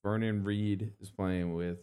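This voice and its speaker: A man hosting a radio show in a relaxed, laid-back way, chatting about local events. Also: lowish voice, unhurried, clear, resonant tone. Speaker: radio host. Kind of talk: casual radio chat.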